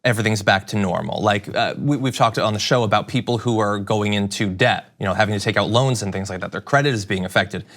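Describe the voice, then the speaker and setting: A man's voice: lowish voice, quick pace, hardly any pauses, a bit bright. A man hosting a talk show, asking conversational news interview questions in an engaged, wordy way, thinking aloud.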